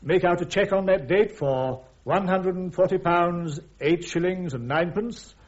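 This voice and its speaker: Male actor, British, lighter-pitched military prosecutor, brisk and methodical, radio drama, dull recording. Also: natural voice. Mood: brisk, probing. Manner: formal, methodical questioning. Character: brisk, precise army major prosecuting at a court-martial. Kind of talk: full-cast radio drama acting.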